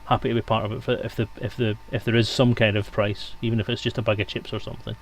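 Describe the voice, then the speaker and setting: A man's voice: on the low side, little pitch movement, quick pace. A man speaking casually as a guest in a livestream discussion. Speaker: stream guest. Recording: clear background noise on mic.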